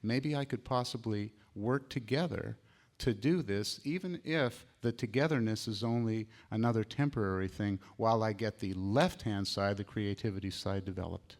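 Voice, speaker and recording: Man from California, seminar participant, earnest, reflective, lowish voice, hesitant uhs. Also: moderate pace. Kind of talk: personal sharing at a seminar.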